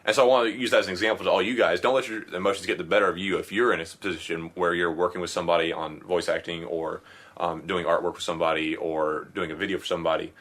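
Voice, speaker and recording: A man with a lowish voice co-hosts a casual podcast. He talks through advice in an easygoing way and fills his sentences with "you know". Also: fairly even intonation, quick pace, fairly bright tone. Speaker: podcast co-host.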